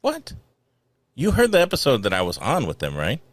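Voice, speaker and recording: A man's voice: mid-range voice, wildly swooping pitch, normal speed, dry recording. A man hosting a casual podcast chat, teasing and picky about music. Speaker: podcast host.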